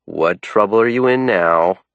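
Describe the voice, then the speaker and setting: A man's voice: medium pitch, wildly swooping pitch, normal speed, no echo, dull audio, voice pushed up. Man doing a playful young woman teacher. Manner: light, teasing.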